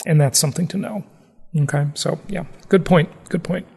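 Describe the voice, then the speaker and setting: Male podcast host, conversational and emphatic, with creaky delivery and long reverb on the recording. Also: on the high side, moderate pace, warm tone. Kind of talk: casual conversational podcast talk. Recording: reverberant hall-like echo.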